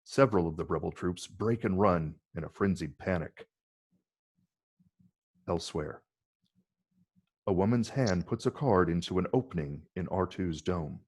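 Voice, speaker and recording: A man's voice: deep voice, unhurried, long pauses, darkish tone, husky. A man narrating stage directions from a film script in a dramatic table read.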